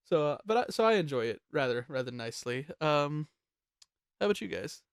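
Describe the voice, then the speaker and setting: Animated host chatting casually, dry close sound. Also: medium pitch, a little fast, clear, resonant tone, no echo. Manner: jokey, swears casually. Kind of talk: casual group chat, ranking songs.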